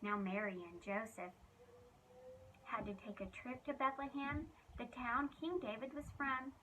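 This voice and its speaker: A woman with a high, bright voice reads a storybook aloud for children. She tells it expressively, leaning on key phrases with dramatic emphasis, like a children's ministry reader. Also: a little slow, slight background noise, poor audio.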